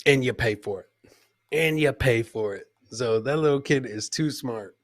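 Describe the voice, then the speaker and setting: A man's voice: mid-range voice, normal speed, dry recording, studio quality. A man hosting a live comedy clip show, joking and teasing as he comments on videos and repeats his punchlines.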